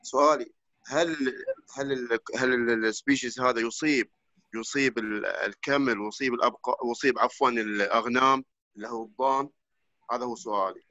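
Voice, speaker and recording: A man's voice: medium pitch, fairly even intonation, a little fast, sharp tone, no room sound. A man calling in to an online lecture, asking a question and searching for his words. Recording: dry, lo-fi online call audio.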